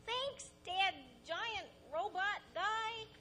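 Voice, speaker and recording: A woman's voice: extremely high pitch, drawn-out words, sharp tone, full character voice. A woman playing an earnest, emotional little boy with a childlike, exaggerated delivery in a live comic radio play. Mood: eager. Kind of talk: live comedic audio theatre parody. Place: stage, live performance.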